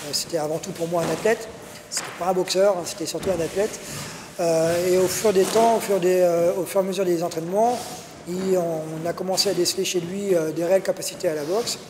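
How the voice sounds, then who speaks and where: A fairly high-voiced male coach talks through his club's results, pausing on fillers and restarting his sentences. He is recorded in a gym with a long booming reverb. A steady hiss sits clearly under him. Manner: hesitant, many fillers and restarts. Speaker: martial arts coach. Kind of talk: interview answers. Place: martial arts gym.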